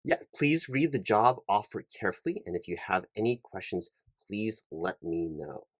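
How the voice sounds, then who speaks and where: A man with a fairly low voice, recorded close and dry. He plays a hiring manager in a one-sided ASMR interview roleplay, talking in polite, officious corporate phrases with a halting delivery. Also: very animated, no echo. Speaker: performer playing a recruiting hiring manager.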